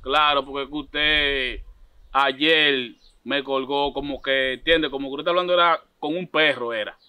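A man's voice: medium pitch, fairly even intonation, moderate pace, pushed and bright, smooth. A man in his thirties with a Dominican accent, making an insistent, repetitive, confrontational prank phone call. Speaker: prank caller. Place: outdoors by a block wall.

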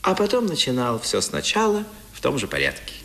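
A man's voice: medium pitch, wildly swooping pitch, a little fast, gravelly, bright, forceful tone, a little hiss or hum. A man narrating a literary radio drama as storyteller.

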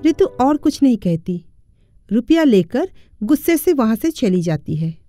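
A woman's voice: on the high side, sing-song, measured pace, warm tone, dry recording, studio quality, faint music behind. A woman narrator delivering scripted narration for a moral story.

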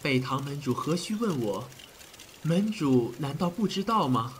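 An actor playing a frank, deferential physician in a period audio drama, speaking formally and in measured phrases. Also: male voice, on the high side, sing-song, slight background noise. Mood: grave. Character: frank, deferential male physician of ancient China.

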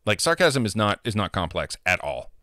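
A man in his thirties with a deep voice, ranting casually and profanely into a handheld mic held close, full of opinions. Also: sing-song, moderate pace, pushed and bright, dry recording. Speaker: gamer vlogger. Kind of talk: casual, ranting monologue to camera. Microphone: handheld microphone held close to the mouth.

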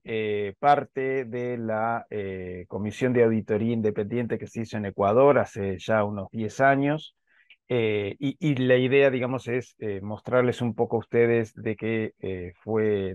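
An Argentine man in his forties speaks through a headset on a video call, calm and measured, often pausing on fillers. The sound is dry and a little dull, with no room echo. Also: fairly low pitch. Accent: Argentine Spanish. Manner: measured, with frequent filler "este". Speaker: invited speaker, lawyer. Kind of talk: presentation at an online panel. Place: home office with bookshelves.

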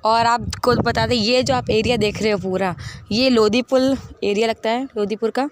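A woman's voice: on the high side, steady tone, normal speed. A young woman vlogging outdoors, chatting straight to her viewers as she walks along a railway bridge, recorded on a handheld phone. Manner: chatty, talks straight to viewers while walking. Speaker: travel vlogger. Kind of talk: casual vlog narration. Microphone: handheld phone at arm's length. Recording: loud wind noise outdoors. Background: strong wind noise.

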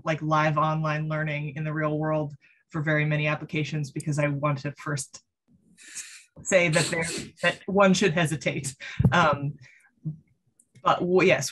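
A woman's voice: deep voice, fairly bright tone. Woman, economist on a panel, thoughtful, hedging, video call. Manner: thoughtful, hedging, self-aware. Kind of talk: panel discussion.

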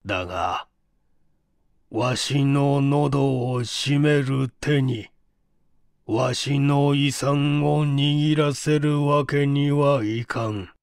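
Male reader as imperious old invalid man, slow halting speech, flat tone, dry close sound, audiobook drama. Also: mid-range voice, gravelly, dry recording. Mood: grave, weary. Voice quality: flat, toneless delivery. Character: frail paralysed rich old man, stubborn and imperious. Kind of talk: dramatic audiobook character reading.

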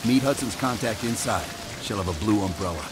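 An actor playing a gruff, seasoned intelligence operative in a video game, terse, controlled and commanding. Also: male voice, on the low side, expressive, a little fast, very noisy, lightly acted. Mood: watchful. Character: gruff, seasoned male American intelligence operative leading a mission. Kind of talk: video game cinematic acting.

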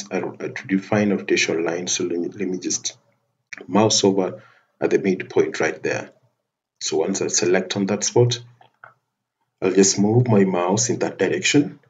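A man giving a methodical, step-by-step software tutorial over a screen recording, often restarting his phrases. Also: low voice. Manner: methodical step-by-step narration with frequent restarts and fillers.

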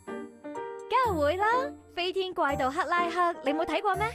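A cocky young lady thief, acted by a woman for a visual novel, speaking high in Hong Kong Cantonese. She teases and plays the villain with theatrical flair, over soft background music. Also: normal speed. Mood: teasing. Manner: playful, theatrical, mock-villainous. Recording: game voice track over music.

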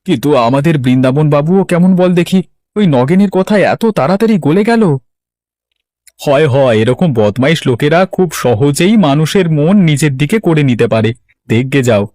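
Man acting a higher-voiced worried villager. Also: a little mellow, dry recording, clean studio sound, natural voice. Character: worried plain-spoken village farmer men. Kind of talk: Bengali cartoon dialogue.

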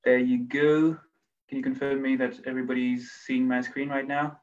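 A man's voice: mid-range voice, flat delivery, normal speed. A man, a PhD student, giving an academic talk on a video call, often restarting his phrases. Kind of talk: academic presentation. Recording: distant, dull video call audio.

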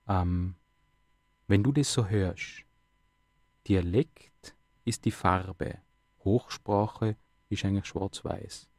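A man hosting a radio talk show with a mellow, dark tone. He leads the chat with easy questions and tag words. The sound is close and dry, without room echo. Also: deep voice, slow, long pauses. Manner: conversational, asks many questions, tag words. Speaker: radio host. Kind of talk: radio interview.

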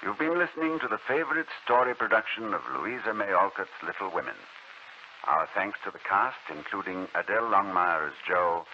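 A man's voice: sits low, sharp tone. A man hosting as announcer in a formal, polished 1940s radio style. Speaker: announcer and host. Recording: muffled 1940s radio recording, hiss.

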